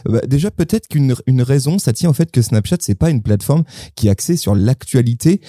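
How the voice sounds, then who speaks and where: A man's voice: medium pitch, lively intonation, a little fast, warm tone, dry recording, studio quality. A man co-hosting a marketing podcast, who asks questions and often adds a tag "hein". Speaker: podcast co-host. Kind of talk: casual marketing podcast chat.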